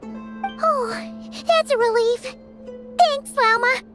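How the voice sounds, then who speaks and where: A woman voicing an excitable, anxious, childlike small female companion in a video game. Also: extremely high pitch, extremely slow, exaggerated voice. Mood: relieved. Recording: loud music behind the voice.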